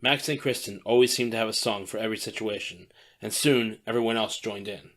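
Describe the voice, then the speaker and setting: A man's voice: lowish voice, fairly even intonation, fairly quick, a crowd in the background. A man narrating an audio drama in a scripted storytelling style.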